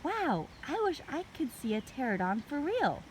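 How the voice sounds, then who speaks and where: A woman's voice: sits high, big pitch swings, moderate pace, a little hiss or hum, voice pushed up, low-quality recording. A woman voicing a bold, friendly, eager little girl in a children's storybook. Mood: excited.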